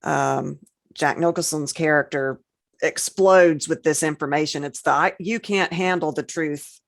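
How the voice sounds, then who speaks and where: Low-pitched woman, casual podcast co-host. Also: measured pace, vocal fry.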